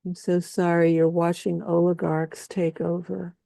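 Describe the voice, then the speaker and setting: Low, gravelly woman in a slow lament. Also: completely flat delivery, warm tone, no echo. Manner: slow, solemn, repetitive phrasing with long pauses. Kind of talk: slow spoken-word lament.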